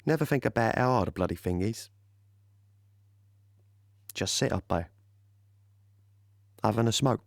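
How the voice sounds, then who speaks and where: A casual soldier muses in an offhand, colloquial way in an audio play. His voice is creaky and unhurried, recorded close and dry. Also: lowish voice, lively intonation, no room sound, full character voice. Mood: offhand, wry.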